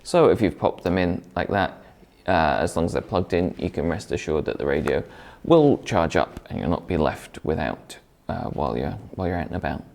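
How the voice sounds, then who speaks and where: A man's voice: sits low, animated, normal speed, vocal fry, a little mellow. A man presenting a product review in a conversational, explanatory way, partly scripted.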